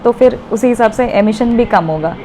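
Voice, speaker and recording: A woman's voice: a little high, moderate pace, dark tone. A woman giving explanatory interview answers as an expert guest, mixing in English technical terms. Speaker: air traffic controller, interview guest. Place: at an airport, near navigation equipment. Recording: faint ambient location noise.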